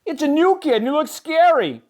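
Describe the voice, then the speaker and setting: A man voicing an excitable, easily flustered young boy mackerel in a picture book, high and exclaiming. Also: brisk. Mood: alarmed.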